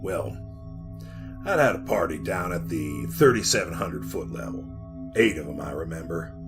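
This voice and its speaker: An actor playing a folksy mine guide with a dark tale, in the style of 1940s radio drama. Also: male voice, extremely low pitch, lively intonation, normal speed, over music. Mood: brooding, confiding. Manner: folksy, colloquial storytelling. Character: mine guide recalling a dreadful past, brooding and cocky.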